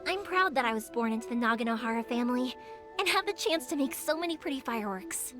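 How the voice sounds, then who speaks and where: A woman voicing a cheerful, earnest young female fireworks maker in a video game, talking warmly and thoughtfully. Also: fairly high pitch, expressive, quick pace, natural voice. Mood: reflective. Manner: warm, earnest, reflective with soft laughs. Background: game music.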